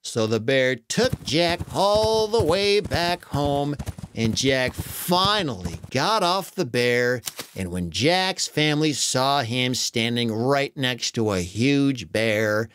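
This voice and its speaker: A man narrating a children's story in a dramatic, animated way with exaggerated emphasis. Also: mid-range voice, slow, a little hiss or hum.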